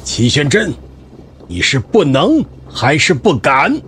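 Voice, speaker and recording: A booming, slow, taunting immortal patriarch. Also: male voice, very animated, fairly bright tone, a little hiss or hum, exaggerated voice. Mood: mocking, taunting. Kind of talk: audio drama acting.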